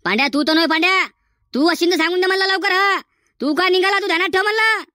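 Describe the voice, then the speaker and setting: A man voicing an exasperated village schoolteacher in a comedy cartoon, hectoring in a Varhadi Marathi accent through a pitched-up effect. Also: quick pace, bright, forceful tone, no room sound, studio quality, heavily acted. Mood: threatening. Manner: hectoring, repetitive, threatening.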